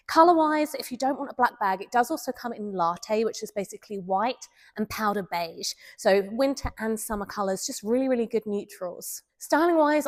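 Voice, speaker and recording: A woman with a head cold, sounding nasal, chatting enthusiastically through a handbag review. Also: mid-range voice. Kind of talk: casual product review vlog.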